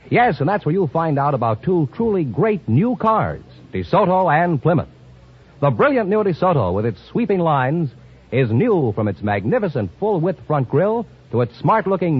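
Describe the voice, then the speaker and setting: A man announcing on 1950s radio with a polished, emphatic delivery. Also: fairly high pitch. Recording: muffled, rough 1950 radio recording, audience.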